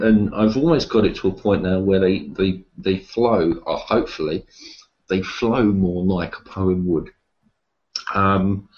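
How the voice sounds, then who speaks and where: A man being interviewed, talking casually and reflectively about his writing, with hesitant pauses. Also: deep voice, muffled recording. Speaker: interview guest, horror writer and publisher.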